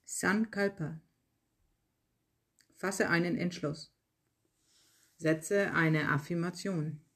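A yoga nidra guide reading a scripted relaxation in calm, measured short phrases with pauses. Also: medium pitch. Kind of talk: slow guided relaxation meditation, read from a script.

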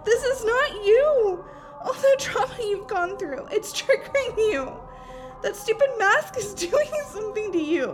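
A woman's voice: very high voice, expressive, extremely slow, pauses often, reverberant, big-room sound, exaggerated voice. A woman acting as a frightened, loyal friend, emotional and imploring, in a horror audio drama. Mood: frightened, pleading. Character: terrified, loyal young woman pleading with possessed friend. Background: music.

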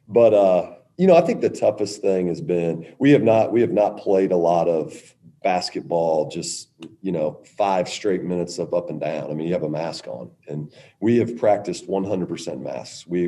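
A middle-aged man, a women's basketball coach, answering press questions in a halting way with many restarts. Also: low voice, dark tone.

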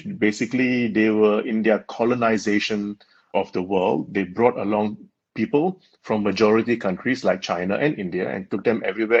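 A man's voice: fairly low pitch, breathy edge. A man from Malaysia, a guest in an interview, explaining in an earnest way and laughing often. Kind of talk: conversational interview. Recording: dull lo-fi sound.

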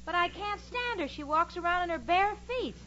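A woman's voice: very high voice, animated, moderate pace. An actress playing an exasperated, sensible young woman with emphatic, dramatic 1940s radio comedy delivery. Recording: muffled, noisy old radio recording.

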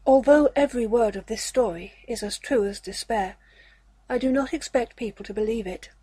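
A woman reading a classic story aloud as an audiobook, in a measured, literary manner. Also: a little high, dark tone, husky, dry recording, poor audio. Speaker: audiobook narrator. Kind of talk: scripted narration of a classic ghost story.

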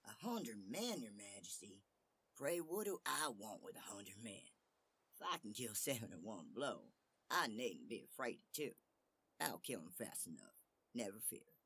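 A man voicing a boastful, brisk little tailor in a fairy tale, cocky and self-assured. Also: on the low side, sing-song, sharp tone, breathy edge, exaggerated voice. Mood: boastful, dismissive.

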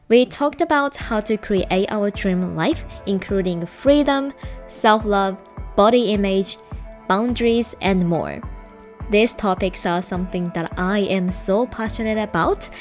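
A woman's voice: mid-range voice, darkish tone. A woman hosting a casual podcast in English, which is not her first language. She talks in an eager, upbeat way and laughs often. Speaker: podcast host.

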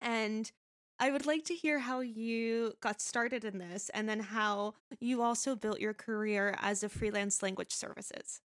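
A woman's voice: a little high, steady tone, a little slow. A woman hosting a university interview podcast, speaking in a friendly way with frequent "um"s and restarts. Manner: friendly, hesitant with frequent fillers. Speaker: podcast host.

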